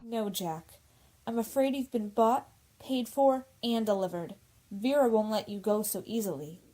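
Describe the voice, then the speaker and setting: A woman's voice: on the high side, unhurried, mellow, low-quality recording. A woman playing a guarded young assistant, firm and proper, in a radio drama. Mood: cool firm. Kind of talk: old-time radio drama acting.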